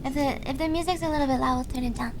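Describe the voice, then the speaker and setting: A young woman streaming, chatting softly and hesitantly with viewers with many fillers. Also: sits high, steady tone, racing. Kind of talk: casual chat with viewers. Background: faint background music.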